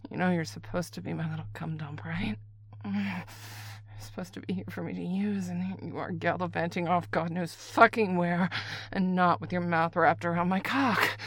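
A woman playing a breathy, possessive, domineering lover. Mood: scolding. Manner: breathy, low, often drops to a whisper. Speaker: voice actor in an adult audio roleplay. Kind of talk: acted voicemail monologue.

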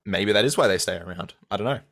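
Man, Australian accent, slightly low voice, measured, thoughtful, frequent restarts, young adult. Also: a bit bright. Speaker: guest, audio content creator. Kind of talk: casual interview answers.

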